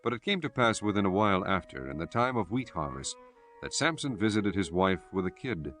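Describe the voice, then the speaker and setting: Low-voiced solemn male scripture narrator. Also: sing-song, fairly quick, fairly bright tone. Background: prominent music.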